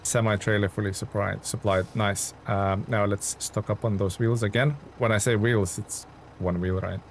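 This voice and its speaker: A man's voice: on the low side, fairly even intonation, moderate pace. A man from Lithuania, chatting in a friendly, informal way as he thinks aloud on a gaming livestream. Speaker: video game livestreamer. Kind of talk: casual gaming stream chat and commentary. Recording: clear vehicle and engine noise behind. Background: truck game sounds.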